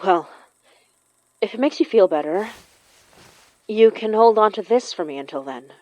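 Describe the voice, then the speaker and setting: A woman's mid-pitched voice, acted as a blunt, knowing magic practitioner in an audio drama. She is direct and probing, speaking naturally. The recording is dry and a little dull. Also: lively intonation, normal speed, a little mellow, dry recording, lightly acted. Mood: gentle, offering. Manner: direct, questioning.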